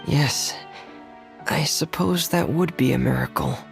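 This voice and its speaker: A man playing a gentle, earnest teenage boy in a video game, soft-spoken and measured. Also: a little high, vocal fry, faint music behind. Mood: hopeful, earnest.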